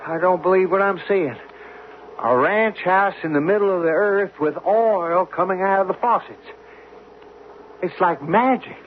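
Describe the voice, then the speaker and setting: Jittery obedient sidekick, fairly high voice, hesitant, plain-spoken, radio mystery drama, tinny old recording. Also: male voice, unhurried. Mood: alarmed, astonished. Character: nervous, deferential male assistant to an underground explorer. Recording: tinny, band-limited old radio recording, faint noise.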